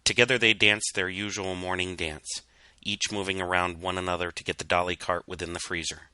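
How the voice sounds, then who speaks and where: Deep-voiced man narrating with a sharp, bright edge. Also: little pitch movement, fairly quick, clean tone, dry recording. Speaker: fan fiction reader. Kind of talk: dramatic scripted story narration.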